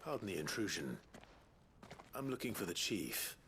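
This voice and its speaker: An actor playing an earnest, resolute hero in a video game, speaking in a measured, courteous way. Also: male voice, low-pitched, big pitch swings, fairly bright tone, slight background noise, heavily acted. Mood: polite, restrained. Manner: measured, polite, determined. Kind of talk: video game drama acting.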